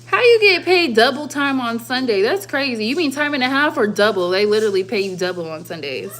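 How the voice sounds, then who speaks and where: A woman's voice: on the high side, lively intonation, fairly quick, fairly bright tone. A young woman from the southwestern United States chatting casually on a livestream at home, rambling along in slangy, informal talk. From southwestern United States (Arizona and Las Vegas). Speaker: livestream host.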